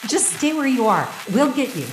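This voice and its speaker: Radio drama special agent: earnest, businesslike, fairly high voice, reverberant room with noticeable noise. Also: female voice, sing-song, moderate pace. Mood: insistent. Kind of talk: radio play acting. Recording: echoey room with clear background noise.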